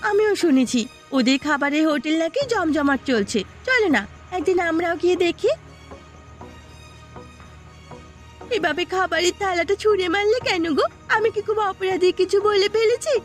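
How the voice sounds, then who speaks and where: A woman voicing a village wife in a cartoon, animated and expressive. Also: very high voice, fairly quick, soft background music. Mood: hurt, pleading. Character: village women, a peasant's wife and her elderly mother-in-law. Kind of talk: Bengali cartoon voice acting.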